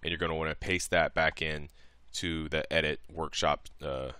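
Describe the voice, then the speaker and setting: A man presenting a casual, step-by-step software tutorial in an informal, explanatory way. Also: very deep voice, flat delivery, moderate pace, clean tone, no echo.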